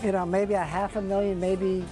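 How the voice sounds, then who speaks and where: A man's voice: high-pitched, little pitch movement, moderate pace. A middle-aged man, a deli owner, casually explaining his work in the kitchen. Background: music.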